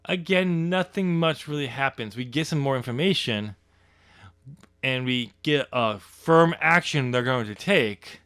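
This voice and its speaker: A man with a smooth, clear voice and mid pitch, talking about his own book in a loose, easygoing way. He laughs often and fills pauses with ums. The recording is dry and close. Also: bright, forceful tone, dry recording. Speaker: podcast host, author. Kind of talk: casual chat about his novel.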